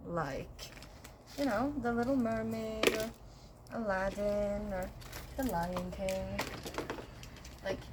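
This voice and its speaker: A woman's voice: medium pitch, slow, long pauses, heavy background noise. A young woman from Sweden, chatting casually and playfully to her viewers while gardening outdoors, talking to herself and humming as she works. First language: Swedish. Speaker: gardening vlogger.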